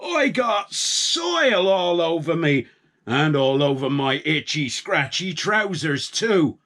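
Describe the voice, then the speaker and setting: A man's voice: fairly high pitch, sing-song, slow pace, voice pushed up, full character voice. Exasperated, whiny farmer, put on by a man. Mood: exasperated, scolding. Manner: whiny complaining that bursts into scolding shouts. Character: exasperated, grumbling male farmer chasing his naughty pig.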